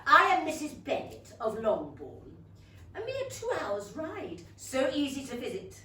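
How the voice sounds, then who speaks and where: Middle-aged Regency matchmaker, English accent, high voice, fluttery, gushing, distant roomy stage. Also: female voice, huge pitch swings, measured pace, bright, forceful tone, heavily acted. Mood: gushing, scheming. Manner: flustered, giggly, insinuating. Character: gushing, scheming matchmaking Regency mother of five. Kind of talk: comic stage acting. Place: small theatre stage. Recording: roomy theatre, distant mic, faint noise.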